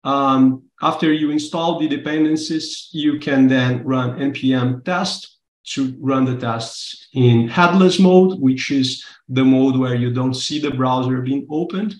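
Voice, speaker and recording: A man from Brazil whose first language is Portuguese, speaking English on a video call as he walks through a testing project in a relaxed, explanatory way. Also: mid-range voice, unhurried, roomy sound. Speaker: workshop presenter. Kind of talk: live online workshop walkthrough. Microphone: webcam video call.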